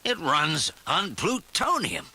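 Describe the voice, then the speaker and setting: An actor playing an excitable, eccentric old male scientist with a dramatic, emphatic delivery in a children's read-along story. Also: on the high side, slow pace, background noise. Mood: proud, excited.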